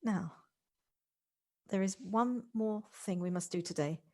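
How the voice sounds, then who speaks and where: A woman's voice: mid-range voice, takes it slowly, dark tone. A woman in an amateur audio drama playing an exuberant, affectionate lady, eager and effusive, often repeating her words. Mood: warm, admiring. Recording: home recording on a personal device.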